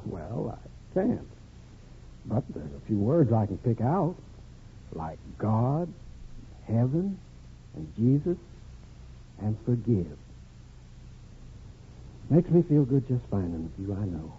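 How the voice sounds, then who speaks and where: An actor playing a gentle, devout servant man, hesitant and deferential, in a 1950s radio drama. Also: medium pitch, sing-song, slow, long pauses, slight background noise. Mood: hesitant, tender. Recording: tinny, band-limited old radio broadcast.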